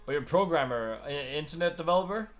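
Higher-pitched young man streaming. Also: expressive, fast, soft background music. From Denmark. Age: young adult. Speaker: professional gamer and streamer. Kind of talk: casual chat while streaming a shooter game. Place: indoor room at a computer.

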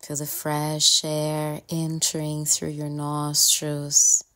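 A woman with a low voice leads a guided meditation at an extremely slow pace. Her delivery is calm and gentle, with little rise or fall in pitch. She speaks in short, soothing phrases and leaves long pauses between them.

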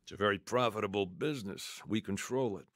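Actor as a low, commanding mob boss. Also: male voice, fairly quick, fairly bright tone, no echo, studio quality, close to an everyday voice. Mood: businesslike. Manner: businesslike, commanding. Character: smooth, calculating mob boss, a grown man. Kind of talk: radio drama acting.

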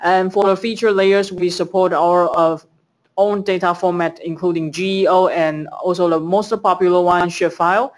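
A woman's voice: on the low side, fairly even intonation, normal speed. A woman hosting a webinar and presenting slides, reading out lists with some fillers. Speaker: webinar host.

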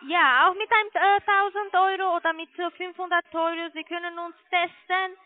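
A non-native German-speaking woman giving a hesitant sales pitch over the telephone as a call centre agent. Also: steady tone, no echo. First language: not German. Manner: hesitant, halting. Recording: muffled narrowband phone line.

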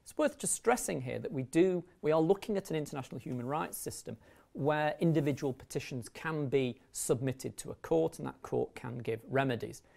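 A man in his thirties giving a formal, measured academic lecture as a university law lecturer, speaking into a lapel mic. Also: a little high, lively intonation, creaky, a little mellow. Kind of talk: academic lecture, partly read from notes. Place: indoor lecture recording room, at a lectern.

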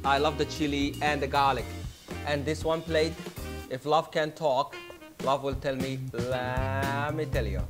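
A man's voice: medium pitch, fairly even intonation, a little slow, over loud music. A man in his thirties hosting a cooking show in a studio kitchen, upbeat and playful as he talks straight to the camera on a lapel mic. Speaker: chef host. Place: home-style studio kitchen, indoors.

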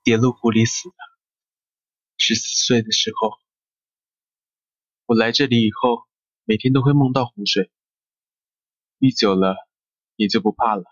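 A man playing a sickly, dreamy older brother in an audio drama, quiet and detached. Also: medium pitch, normal speed, long pauses, no echo, lightly acted. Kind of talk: Mandarin audio drama acting. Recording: dull, dry lo-fi recording.

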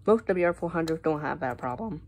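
A woman's voice: sits low, animated, brisk, darkish tone. A young woman with an American accent giving a casual show-and-tell narration on a handheld phone at home. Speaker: hobbyist vlogger showing a collection. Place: room at home. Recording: noisy handheld phone recording.

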